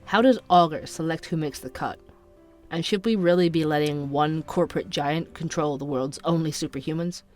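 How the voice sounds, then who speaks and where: An actor playing a young podcaster who narrates urban conspiracies in a measured, inquisitive way for an audio drama. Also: medium pitch, soft background music, natural voice. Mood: intrigued, serious.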